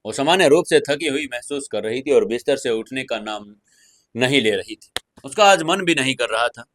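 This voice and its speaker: A man's voice: mid-range voice, expressive, moderate pace, fairly bright tone, slight background noise. A man reading a scripted romantic serial as a storyteller, with dramatized delivery. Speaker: audio story narrator.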